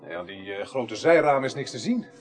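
A man plays a methodical, courteous investigator in an eighties Dutch radio crime drama. His mid-pitched voice moves with animated intonation as he reasons things out deliberately and politely. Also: faint music behind, natural voice. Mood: inquiring, brisk.

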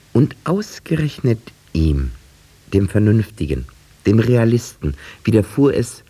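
An actor playing a village schoolteacher who narrates a 1950s German radio drama in a measured, precise, dryly ironic way. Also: male voice, extremely low pitch, warm tone, husky, exaggerated voice. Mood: musing. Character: village schoolteacher narrator, a thoughtful, dry-witted man.

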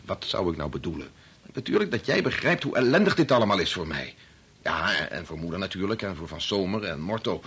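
An actor in a Dutch radio play playing a self-absorbed, irritable medical researcher who talks insistently and repeats himself. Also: male voice, sits low, sing-song, a little fast, a bit bright, breathy edge, exaggerated voice. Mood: self-pitying, irritated. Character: embittered, self-absorbed male medical researcher, agitated husband.

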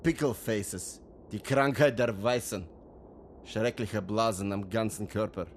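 A man's voice: on the low side, unhurried, a little hiss or hum. A man acting in a radio drama as a stern Comanche raid leader, terse and commanding. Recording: loud music over the dialogue. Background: loud music.

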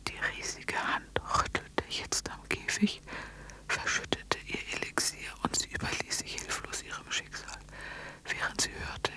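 An actress narrating a surreal radio play as a hushed storyteller, in a breathy whisper. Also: female voice, a little slow, lightly acted. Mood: hushed, tense. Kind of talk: literary radio drama narration.

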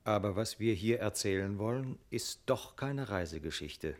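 An actor playing a sober, reflective narrator who tells a literary radio tale in a deliberate, thoughtful manner. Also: male voice, on the low side, animated, close to an everyday voice.

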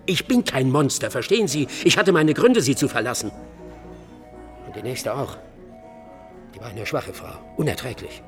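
A male actor plays a middle-aged father and bar owner in a crime radio play. He is defensive, coarse and bitter, dodging and justifying himself. His mid-pitched voice rings with long reverb, with music in the production. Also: animated, normal speed, long pauses, natural voice. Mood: agitated, self-justifying. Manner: evasive, self-justifying, coarse. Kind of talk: German radio drama acting.

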